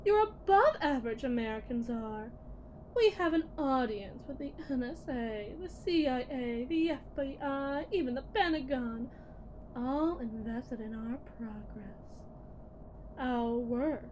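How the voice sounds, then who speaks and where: A woman playing a condescending, menacing lead technician at a shady tech company in a scripted ASMR roleplay, theatrical and patronizing with deliberate pauses. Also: sits high, animated, a little mellow, a little hiss or hum, low-quality recording. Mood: conspiratorial, proud.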